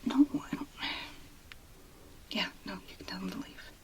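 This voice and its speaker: A woman performing an ASMR role play in a breathy whisper, murmuring softly in short pieces with pauses. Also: mid-range voice.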